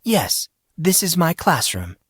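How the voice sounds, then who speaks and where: A man puts on a woman schoolteacher in an audio drama, her voice raised in pitch. She sounds concerned and unsure, yet questions and challenges. The recording is dry. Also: big pitch swings, slow, slightly rough, no room sound, full character voice. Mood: wary, confronting. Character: worried, challenging adult woman teacher in high heels.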